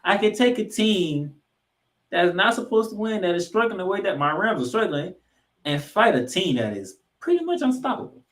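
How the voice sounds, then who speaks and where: Animated, emphatic male sports podcast host. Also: high voice. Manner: emphatic, dramatic pauses and repetition for effect. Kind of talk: football recap commentary.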